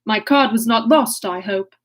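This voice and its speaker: An evasive young gentleman of a storybook romance, put on by a woman reader, speaks in a light, high voice. He is stiffly formal and measured, hiding his embarrassment. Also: close to an everyday voice. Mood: forced calm.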